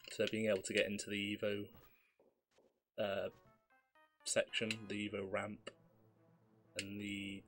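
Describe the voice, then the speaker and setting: A slightly hoarse man with a fairly low voice talks through a speedrun in a relaxed, explanatory way. Faint game music plays underneath. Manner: relaxed, explanatory, self-deprecating. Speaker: speedrunner commentating his own run. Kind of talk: live gameplay commentary.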